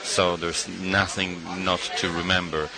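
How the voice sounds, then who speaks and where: A middle-aged man from Argentina, a guest artist in a radio interview, speaking thoughtfully with frequent pauses and fillers. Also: low voice, little pitch movement, a crowd in the background, poor audio. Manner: thoughtful, hesitant with many fillers.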